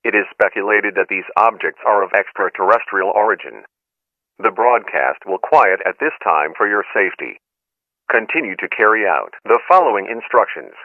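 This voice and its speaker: A man reading an official emergency broadcast in a formal, even delivery. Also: lowish voice, normal speed, dry recording. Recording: tinny radio-band broadcast.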